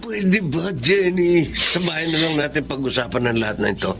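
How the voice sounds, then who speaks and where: An evasive, irritable Filipino father from the docks in a radio drama. His voice is high for a man and very animated in its swings. He sounds dismissive and defensive. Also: brisk, faint music behind. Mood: irritated. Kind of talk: Tagalog radio drama acting.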